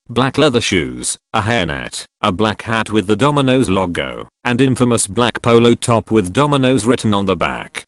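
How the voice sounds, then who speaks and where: A man with a fairly low voice reads online stories aloud in a wry, storytelling way. His pitch moves a lot through each sentence. The sound is dry and close. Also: no room sound. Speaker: narrator.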